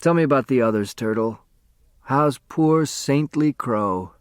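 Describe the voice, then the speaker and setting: A male narrator plays a dying man in his eighties from a storybook. His voice is faint and frail, at a middling pitch, and he asks things in a halting, wistful, gently teasing way. The sound is close and dry. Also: smooth, dry recording, natural voice. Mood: wistful, curious. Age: 80s. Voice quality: weak, faint.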